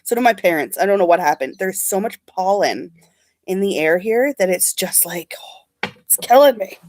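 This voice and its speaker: A woman's voice: mid-range voice, lively intonation, normal speed, darkish tone, dry recording. A young woman chatting casually on a livestream, sniffly from allergies and rambling as she answers comments. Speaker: beauty vlogger.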